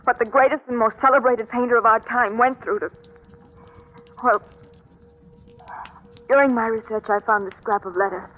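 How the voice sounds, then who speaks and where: Fretful young heroine, sharp tense voice, dull old radio recording. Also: female voice, on the high side, steady tone, moderate pace, pauses often. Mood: earnest. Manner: earnest, fretful, melodramatic. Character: anxious, pleading young woman in trouble. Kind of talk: 1940s radio drama acting.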